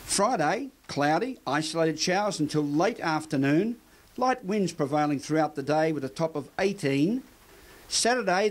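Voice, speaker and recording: Australian local TV news presenter. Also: male voice, fairly high pitch. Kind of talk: community TV news reading.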